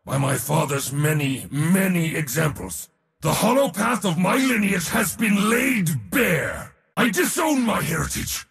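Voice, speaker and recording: Arrogant war god, video game villainy and bravado, high-pitched for a man, gravelly, pompous, bloodthirsty, declamatory. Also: very animated, measured pace, a bit bright, in a large hall, exaggerated voice. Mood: grave, resolute. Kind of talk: theatrical video game character acting.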